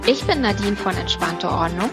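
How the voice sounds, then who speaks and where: A woman's voice: medium pitch, expressive, moderate pace, music in the background. A woman from Berlin co-hosting a podcast, talking in a casual, chatty way and often asking "ne?". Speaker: podcast co-host. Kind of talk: casual chat.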